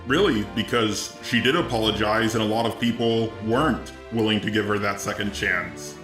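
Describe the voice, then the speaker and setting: A man's voice: fairly low pitch, moderate pace, over music. Echoey synthetic man's robot voice. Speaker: commentary video host. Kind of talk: scripted video commentary.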